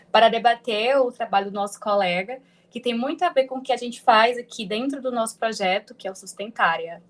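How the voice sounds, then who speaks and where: A Brazilian woman presenting and discussing research in a podcast-style academic talk. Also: mid-range voice.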